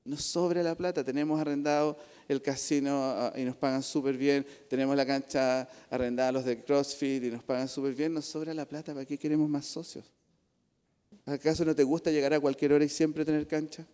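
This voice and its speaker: A man's voice: medium pitch, little pitch movement, brisk, dark tone, smooth. A middle-aged man with a Chilean accent preaching a sermon from the pulpit, earnest and conversational, with asides to the congregation. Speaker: pastor. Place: church. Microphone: pulpit microphone. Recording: long hall reverb in church.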